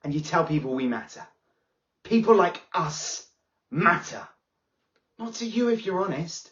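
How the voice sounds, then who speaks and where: An actress playing an angry excluded pupil who bitterly accuses a teacher, delivering a slow dramatic monologue. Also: female voice, on the low side, lively intonation, dull audio. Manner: bitter, accusing, rising to yelling.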